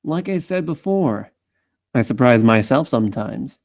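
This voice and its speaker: A man playing a hardboiled rabbit detective, wry, terse and deadpan, at a script table read. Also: mid-range voice, unhurried, no room sound, studio quality. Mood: wry, guarded. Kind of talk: table-read character acting.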